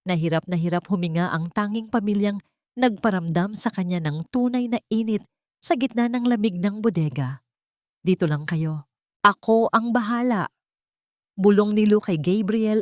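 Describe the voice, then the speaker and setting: A woman's voice: mid-range voice, lively intonation, normal speed, dark tone, no echo, clean studio sound. A woman narrating a scripted dramatic story as a storyteller.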